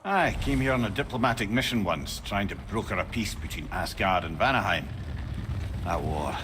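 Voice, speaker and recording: Low-voiced wry old storyteller. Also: male voice, expressive, normal speed, a bit bright, a little hiss or hum. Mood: sombre. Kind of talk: dramatic video game acting.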